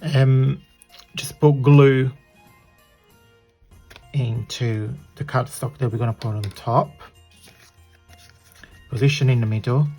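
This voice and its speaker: A man with a croaky voice hosting a casual craft tutorial, chatting and apologizing as he works with his hands at a desk. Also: medium pitch, darkish tone.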